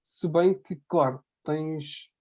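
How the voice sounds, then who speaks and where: A man's voice: a little high, slow, dark tone, lo-fi sound. A man with a European Portuguese accent talking casually as an interview guest, rambling and repeating his phrases. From Ribatejo, Portugal. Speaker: interview guest, emigrant hospital worker. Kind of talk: casual conversational testimony.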